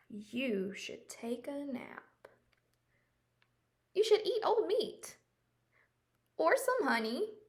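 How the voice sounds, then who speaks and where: A woman's voice: high-pitched, pushed and bright, exaggerated voice. A woman voicing eager, bossy animal friends in a children's storybook, coaxing with stretched-out commands.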